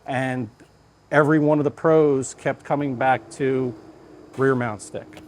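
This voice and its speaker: A man being interviewed as a fire lieutenant, talking conversationally and deliberately in short, thought-out phrases. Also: medium pitch, fairly even intonation, slight background noise.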